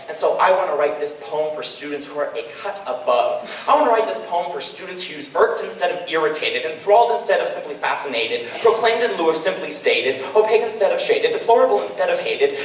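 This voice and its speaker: A young man with a high voice recites slam poetry in a rhythmic, emphatic way. He sounds like a student poet on stage, heard from a distance in a very echoey hall. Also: slight background noise. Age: young adult. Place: large indoor ceremony hall.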